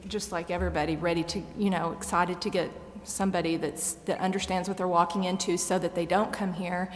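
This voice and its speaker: A woman's voice: on the low side, level tone, a little fast. An American woman speaking candidly and questioningly as a council member in a public meeting discussion. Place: indoor council chamber. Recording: echoey meeting chamber with noticeable background noise.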